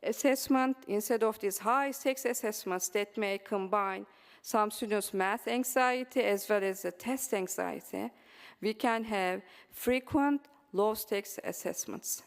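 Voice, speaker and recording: A woman's voice: medium pitch, unhurried, creaky, big-room sound. A woman from Turkey with a Turkish accent, giving an earnest conference talk on teaching, with many ums and uhs. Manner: frequent um and uh fillers, earnest and encouraging. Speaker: presenter. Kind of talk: conference presentation. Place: symposium hall.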